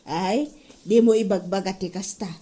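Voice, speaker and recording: A woman's voice: mid-range voice, fairly even intonation, moderate pace, dark tone, lightly acted. Woman playing caring, advising mother. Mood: concerned. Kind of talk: comedy audio drama acting.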